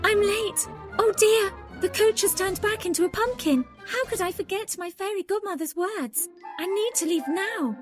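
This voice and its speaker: A woman's voice: extremely high pitch, moderate pace, over music. A woman playing a sweet, anxious young fairytale heroine in a children's storybook, exclaiming theatrically. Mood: panicked. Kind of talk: children's storybook character acting.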